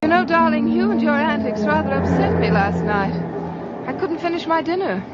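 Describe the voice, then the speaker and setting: An actress playing an outwardly devoted but scheming wife, sweet and indignant, in an old-time radio drama. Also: female voice, high voice, lively intonation, moderate pace, over a noisy crowd. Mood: sweetly reproachful. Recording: muffled noisy old radio recording.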